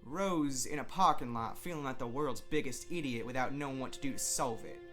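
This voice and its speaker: An amateur reader narrates a scripted story with dramatic delivery. The voice is bright and forceful at a middling pitch, with soundtrack music running underneath. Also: a little fast. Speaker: amateur narrator reading own fan fiction. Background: background soundtrack music.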